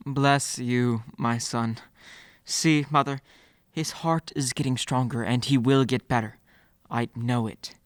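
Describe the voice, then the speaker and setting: A man playing a tender, humble clerk and father in a radio play, gentle and halting. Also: medium pitch, steady tone, dry recording, studio quality, close to an everyday voice. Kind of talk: old-time radio play acting. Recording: music behind.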